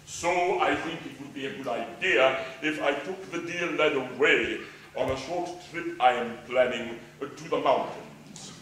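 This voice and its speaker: A man's voice: mid-range voice, a little slow, fairly bright tone, natural voice. A man playing a scheming pantomime villain who poses as a generous benefactor, with grand, theatrical delivery. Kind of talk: live pantomime acting. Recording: echoey, distant stage recording with faint noise.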